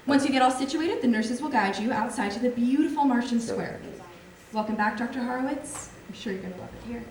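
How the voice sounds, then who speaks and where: A woman's voice: a little high, brisk, a little mellow, lightly acted. An actress in a staged reading playing a reassuring, upbeat woman doctor of a Martian colony. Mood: proud, welcoming. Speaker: musical theatre actress. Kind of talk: staged reading, theatrical dialogue. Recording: echoey theatre, distant mic, faint noise.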